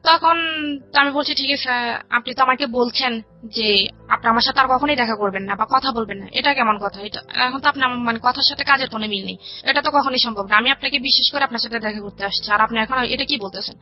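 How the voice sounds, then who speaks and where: High-pitched Bangladeshi woman, dull recording. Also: quick pace. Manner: recounts dialogue in detail, quoting both sides. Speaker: guest telling her life story. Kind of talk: conversational personal storytelling. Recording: dull lo-fi radio audio with music. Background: background music.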